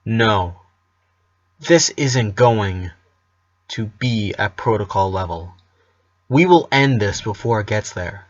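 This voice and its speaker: A man's voice: fairly low pitch, animated, slow pace, pauses often, muffled recording. A man voicing a bossy but caring teenage eldest sister in a story, firm and commanding.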